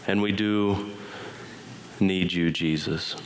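A deep-voiced man leads a church in prayer, speaking in short, reverent phrases. A faint hum of room ambience sits behind him. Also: steady tone, slow pace. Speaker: worship leader. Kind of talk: spoken prayer. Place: church sanctuary.

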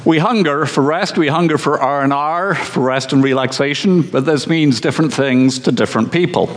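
Pastor preaching in a reverberant hall. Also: male voice, a little high, slight background noise. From Scotland. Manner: measured and conversational, with dry humor. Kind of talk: sermon. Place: church.

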